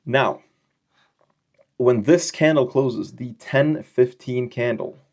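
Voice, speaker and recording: A man's voice: medium pitch, slow pace. A young man giving a casual trading lesson over his screen, explaining in a loose, chatty way with slangy, joking asides and frequent check-in questions. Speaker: trading educator.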